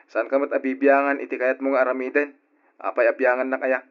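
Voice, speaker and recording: A man with an Ilocano Filipino accent playing a smooth-talking, callous philanderer in a radio drama. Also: medium pitch, level tone, fairly quick, natural voice. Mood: cold, irritated. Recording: dull old radio drama recording.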